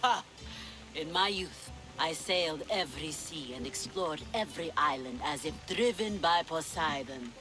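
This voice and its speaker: A woman's voice: sits low, expressive, unhurried, over music, poor audio. A middle-aged pirate queen in a video game. She is sly and imperious, savouring her words with languid, teasing theatricality over a musical score. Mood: teasing, commanding. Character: imperious, sly, treasure-loving older female pirate queen. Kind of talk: video game cutscene acting.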